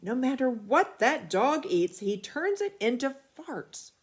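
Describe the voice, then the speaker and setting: Woman putting on a loud, grumpy storybook father. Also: sits high, big pitch swings, breathy edge, voice pushed up, heavily acted. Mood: roaring. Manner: roaring, drawn-out complaints. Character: stern, grumpy father fed up with the dog. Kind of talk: storybook character read aloud.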